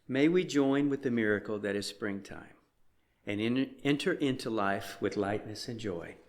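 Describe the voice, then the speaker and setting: Low-voiced man reading in an echoey room. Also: normal speed. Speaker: worship reader lighting the chalice. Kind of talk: liturgical reading. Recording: echoey, roomy sound.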